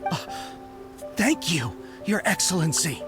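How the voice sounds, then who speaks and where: An earnest, deferential soldier from a fantasy game. The delivery is emphatic and swings widely in pitch, with prominent music underneath. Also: female voice, sing-song, measured pace. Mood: surprised, grateful. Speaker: game character voice actor. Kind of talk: scripted video game dialogue. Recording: loud game music behind the voice.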